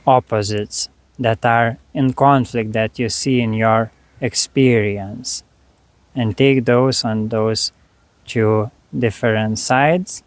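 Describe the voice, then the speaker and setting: A man leading a guided meditation, speaking to the listener in short, unhurried phrases with long pauses between them. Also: medium pitch, fairly even intonation, dry recording.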